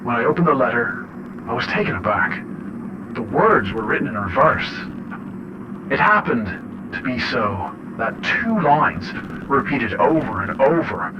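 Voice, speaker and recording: A man playing an unsettled father who records a horror audio diary, speaking in deliberate, reflective and halting phrases. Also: mid-range voice, very animated. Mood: uneasy, confiding. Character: unsettled father recording eerie journal notes. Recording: muffled, noisy radio-like audio log.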